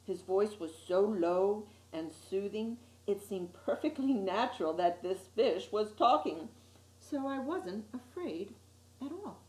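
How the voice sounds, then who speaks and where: Female children's story reader, mid-range voice, expressive and deliberate, recorded in a reverberant room. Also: mid-range voice. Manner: dramatic, deliberate storytelling with emphasised words. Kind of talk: expressive children's picture book reading. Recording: roomy, echoey sound.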